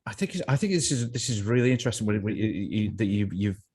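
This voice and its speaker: A man's voice: on the low side, animated, fast, a little mellow, breathy edge. A man hosting a podcast, talking reflectively in a casual interview, often repeating words as he thinks. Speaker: podcast host.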